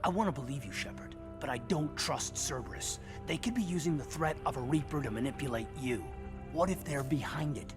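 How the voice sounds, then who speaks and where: A man's voice: medium pitch, brisk, over music, natural voice. A man playing an earnest, hurt and accusing loyal soldier in a dramatic video game scene. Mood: accusing, upset.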